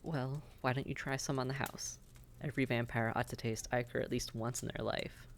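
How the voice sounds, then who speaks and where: Gravelly, reassuring vampire innkeeper played by a man. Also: mid-range voice, fairly even intonation, fairly quick, slight background noise, close to an everyday voice. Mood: friendly, teasing. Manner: chatty, reassuring, advising. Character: friendly, worldly old-hand vampire innkeeper, reassuring male. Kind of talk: roleplay audio drama, speaking directly to listener.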